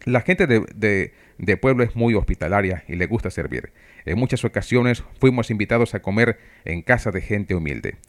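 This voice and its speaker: A man from Venezuela with a Venezuelan accent, preaching for radio in a measured, didactic way. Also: fairly low pitch, expressive. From Trujillo, Venezuela. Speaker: preacher and radio program host. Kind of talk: sermon talk for radio.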